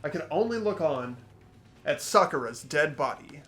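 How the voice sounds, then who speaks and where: A man's voice: a little high, lively intonation, normal speed, fairly bright tone, faint music behind. A man playing an earnest, anguished teenage boy who narrates a fan-voiced game dramatically. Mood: shaken, somber.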